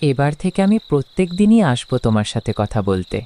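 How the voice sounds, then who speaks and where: A man's voice: medium pitch, very animated, brisk, warm tone, a little hiss or hum, natural voice. A man voicing a brave, curious and friendly young village schoolboy in a cartoon, earnest and inquisitive. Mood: eager, friendly. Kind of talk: cartoon voice acting.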